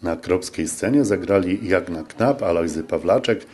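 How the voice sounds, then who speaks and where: Male reporter-narrator, deep and slightly rough, reading scripted news copy. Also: normal speed, darkish tone.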